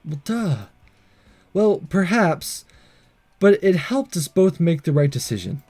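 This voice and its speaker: A man's voice: sits high, animated, slow, dark tone. A man doing a let's play, reading a visual novel aloud with casual, sarcastic asides and the odd stumble over words. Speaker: let's player.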